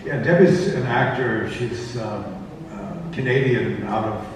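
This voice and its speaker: A man speaking informally as a panelist, halting and digressive, recorded in a reverberant room. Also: fairly low pitch. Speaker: convention panelist, director and actor. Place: comic convention panel room. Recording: echoey hall, distant mic, noisy.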